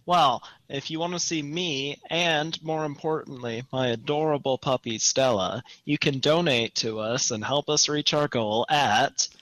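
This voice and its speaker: A man's voice: mid-range voice, steady tone, a little slow, hardly any pauses, fairly bright tone, no echo, poor audio. A man chatting casually as a co-host on a podcast.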